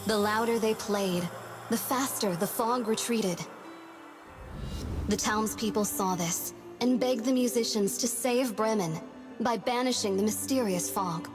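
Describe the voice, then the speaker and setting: An actress narrating an old legend in a fantasy game, in storybook style. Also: female voice, on the high side, unhurried, over music, natural voice. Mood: solemn.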